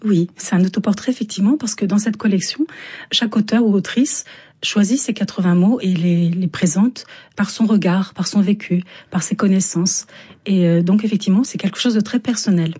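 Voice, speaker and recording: A woman's voice: medium pitch, moderate pace, warm tone, slightly rough. A woman born in Istanbul and raised in France, a native Turkish speaker, answering reflectively and thoughtfully as an interview guest. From born in Istanbul, grew up in Chartres, France. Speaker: interview guest, author and singer.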